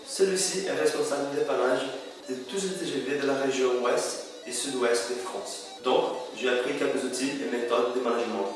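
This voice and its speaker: A young man, by birth, presents himself in a steady, measured way. His voice sits at an ordinary pitch for a man and bounces around a very echoey room, picked up from some distance. Faint music plays behind him. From Brazil. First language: Portuguese. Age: 20s. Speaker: student. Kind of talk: spoken video CV.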